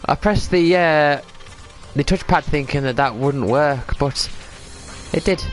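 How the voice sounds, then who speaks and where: A man's voice: on the high side, slow, soft background music. A man with a cold, chatting casually while streaming a game and thinking aloud as he plays. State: has a cold. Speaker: livestreamer playing a game. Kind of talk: casual gameplay chat.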